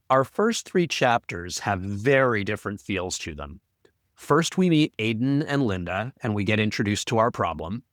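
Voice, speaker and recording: A man's voice: on the low side, no room sound. A man co-hosting a casual podcast discussion as its audio producer, with dry, teasing banter.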